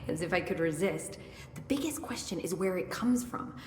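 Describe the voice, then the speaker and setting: A woman's voice: lowish voice, expressive, moderate pace, natural voice. Actress as curious scientist woman in an echoing hall. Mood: fascinated. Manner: analytical, thinking aloud in questions. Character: brisk, curious, dryly witty woman research scientist. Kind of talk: video game dialogue. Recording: echoey hall with faint noise.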